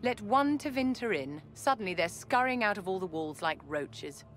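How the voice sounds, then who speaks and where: A woman's voice: medium pitch, animated, normal speed, soft background music, lightly acted. Actress as a haughty, disdainful female mage. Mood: scornful.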